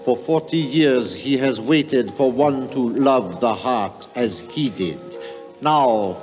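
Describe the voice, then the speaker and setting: A merciless high priest played by a man pronounces in ceremonial 1950s radio style. His delivery is slow and solemn, in long hall reverb with music underneath. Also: darkish tone, natural voice. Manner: ceremonial, measured, pompous. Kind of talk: 1950s radio drama acting.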